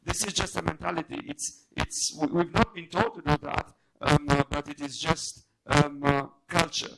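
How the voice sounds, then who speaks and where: A man speaking as a journalist on a debate panel, halting but emphatic in his unscripted remarks. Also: fairly high pitch, vocal fry, a bit bright, poor audio.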